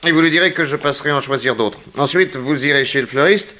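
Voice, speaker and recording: An actor playing an urbane, easygoing gentleman detective in a 1960s French radio drama, with a genial, unhurried manner. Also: on the high side. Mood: genial, leisurely.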